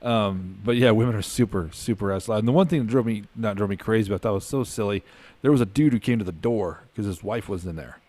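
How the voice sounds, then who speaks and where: A middle-aged man with a low voice hosts a podcast and talks quickly. He tells crude jokes in loose banter and laughs often. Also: a little mellow. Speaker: podcast host. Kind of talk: casual chat.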